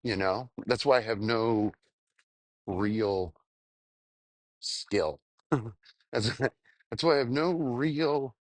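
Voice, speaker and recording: A man's voice: medium pitch, no echo. Man rambling casually, dry close recording. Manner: self-deprecating, halting, crude swearing. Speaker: vlogger. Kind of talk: casual rambling spoken journal.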